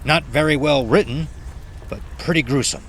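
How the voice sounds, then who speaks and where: A man's voice: a little high, moderate pace, fairly bright tone, a little hiss or hum, voice pushed up. A man voicing a brash, sneering sceptical man in a horror story, scornful and emphatic. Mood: grim levity.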